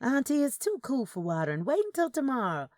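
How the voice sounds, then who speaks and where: Woman acting a sassy Southern niece, curious, emphatic, raised pitch, story dialogue. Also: very animated, a little fast, a little mellow, no room sound, clean studio sound. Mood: concerned, puzzled.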